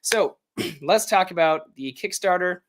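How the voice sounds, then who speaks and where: A man with an American accent, hoarse from illness, chatting casually and upbeat as the host of a livestream Q&A, with lots of fillers. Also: high-pitched, measured pace, poor audio. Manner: rambling, filler-heavy, upbeat. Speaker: author hosting a livestream Q&A.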